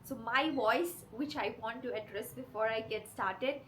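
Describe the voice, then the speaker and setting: A woman with a cold that leaves her voice hoarse and rough, hosting her channel and talking earnestly and directly to her viewers. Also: a little high, unhurried, very noisy. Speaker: channel host. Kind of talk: confessional vlog talk to camera.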